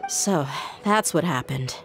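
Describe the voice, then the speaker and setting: A woman's voice: low-pitched, animated, slow, heavily acted. An actress playing a tough, guarded and blunt young mercenary woman in a video game. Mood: concerned. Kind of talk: dramatic video game dialogue. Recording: music behind the voice.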